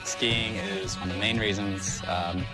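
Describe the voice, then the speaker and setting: A man's voice: low voice, measured pace. A young man talking casually and enthusiastically as a home buyer on a reality TV show, with plenty of "like" and "um". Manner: enthusiastic, relaxed, says "like" and "um". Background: background music.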